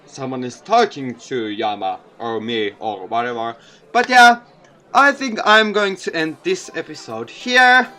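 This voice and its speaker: A man's voice: mid-range voice, lively intonation, a little slow, pushed and bright. A man narrating a visual novel aloud as a gaming video creator.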